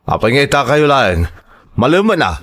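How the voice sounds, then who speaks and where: A man's voice: medium pitch, sing-song, moderate pace, fairly bright tone. A man playing a bad-tempered, bossy uncle who scolds his household in an audio drama, with a Filipino accent. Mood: irritated scolding. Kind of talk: Ilocano audio drama acting.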